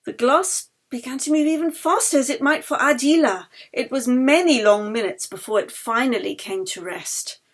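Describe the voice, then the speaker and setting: A woman author reading her own novel aloud in a measured, expressive way. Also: high-pitched. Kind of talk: audiobook narration.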